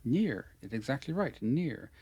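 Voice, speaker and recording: A man's voice: medium pitch, big pitch swings, a little slow, dark tone, husky, no echo. Irish guy teaching pronunciation online, patient, lots of "very good", dry close sound. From Ireland. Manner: patient, encouraging, repeats praise.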